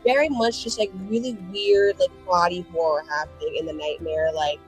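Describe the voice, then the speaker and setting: A woman's voice: fairly low pitch, fairly even intonation, normal speed, low-quality recording. A woman telling a story in a loose, conversational, unscripted way, often peppering it with "like". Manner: loose, conversational, repeatedly says "like". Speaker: tabletop roleplaying show player. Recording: music playing behind the voice. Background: music.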